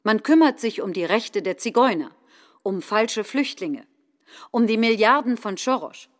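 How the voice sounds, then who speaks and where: A woman's voice: on the high side, lively intonation, measured pace. A woman playing an indignant right-wing populist politician in a declamatory staged speech. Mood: indignant, scornful. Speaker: stage performer.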